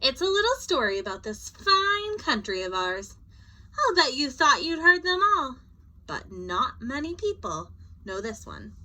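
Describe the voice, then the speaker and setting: A woman acts out a folksy, friendly Uncle Sam from a picture book. The delivery is chatty and theatrical, high and very animated. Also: measured pace, exaggerated voice, low-quality recording. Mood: jovial. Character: friendly, folksy Uncle Sam storyteller addressing young readers. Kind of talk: storybook character voice.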